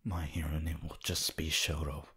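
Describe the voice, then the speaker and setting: A man voicing a cold, cocky, brooding teenage boy hero in an ASMR anime roleplay, in a husky, breathy murmur. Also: level tone, bright, forceful tone, heavily acted. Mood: annoyed, defensive. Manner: slow, low murmur, often dropping to a whisper.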